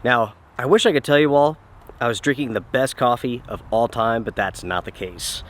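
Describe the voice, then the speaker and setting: Man, camp vlogger, nasal from flu, relaxed chatty read outdoors on camera mic. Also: mid-range voice, moderate pace. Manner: relaxed, chatty, addresses viewers directly. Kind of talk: casual talk to camera. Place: outdoors at a campsite. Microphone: camera-mounted shotgun mic.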